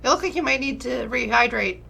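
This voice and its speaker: A woman's voice: on the high side, fairly quick, vocal fry, pushed and bright, breathy edge, full character voice. A woman voicing a breezy, teasing young woman friend from a dating sim visual novel. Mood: breezy, reassuring.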